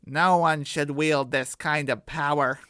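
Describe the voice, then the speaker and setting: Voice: medium pitch, steady tone, unhurried, fairly bright tone, dry recording, clean studio sound, close to an everyday voice. A narrator voicing an earnest, righteous male superhero with a solemn, declarative delivery in a radio drama.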